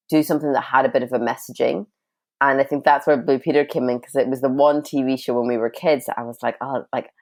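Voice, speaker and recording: A woman's voice: very deep voice, a little mellow. A woman in her thirties, from Sudan and raised in Northern Ireland and Scotland, with an international accent, chatting freely as an interview guest who laughs often. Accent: international mix of Northern Irish and Scottish. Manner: chatty, laughs often, self-described talker. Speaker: guest, writer and filmmaker. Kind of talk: casual interview talk.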